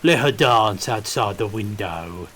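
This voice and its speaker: A man's voice: fairly low pitch, animated, a little slow, fairly bright tone, slight background noise. A man voicing a burbling mutant henchman, playfully cruel, in an audiobook story. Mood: gleeful. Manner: gleeful, fawning.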